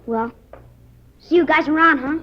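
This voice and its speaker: A young male actor playing a polite, awkward American boy, earnest and halting, in a 1950s radio drama. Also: very high-pitched. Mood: hesitant. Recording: muffled 1950s radio recording.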